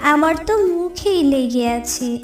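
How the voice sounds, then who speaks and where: A woman's voice: high voice, lively intonation, a little slow. A woman voicing a greedy young female crow in a cartoon, who talks in an eager, pleading way. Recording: echoey, distant mic, clear music bed.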